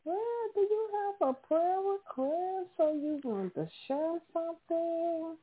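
A woman's voice: very high voice, lively intonation, slow pace. A woman from Texas hosting a prayer line, praying fervently and repetitively, sometimes speaking in tongues, heard over a tinny phone line.

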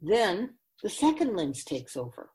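A woman's voice: medium pitch, big pitch swings, measured pace, darkish tone. A woman playing a wise, grave otherworldly keeper of souls in a radio drama, speaking in an oracular, instructive and emphatic way. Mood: calm, oracular.

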